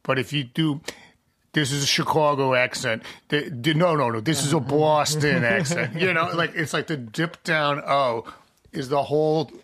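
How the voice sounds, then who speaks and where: A man's voice: a little high, normal speed, a bit bright, no echo. A man guesting on a podcast, chatting casually about his songwriting in a rambling way with lots of fillers.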